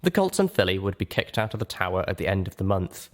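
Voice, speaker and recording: A man's voice: low-pitched, very animated, fast. A man reading scripted first-person fiction as an audiobook narrator.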